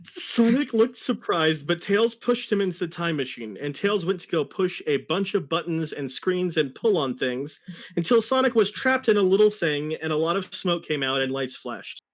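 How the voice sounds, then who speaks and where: A man's voice: on the high side, lively intonation, normal speed. A man reading a story aloud in an amused, mock-dramatic style, with casual asides. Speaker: reader of a fan story.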